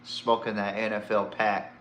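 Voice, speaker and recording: A man's voice: lowish voice, monotone, measured pace, fairly bright tone, echoey room. A man hosting a sports podcast, giving casual, opinionated commentary in a blunt way, swearing and throwing out rhetorical questions.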